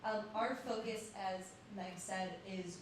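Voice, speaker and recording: A woman's voice: mid-range voice, fairly even intonation, takes it slowly, slight background noise. A woman on a panel describing her work in a chatty, self-deprecating way. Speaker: panelist, program manager. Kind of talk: casual panel talk. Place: lecture room. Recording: very echoey hall, distant mic.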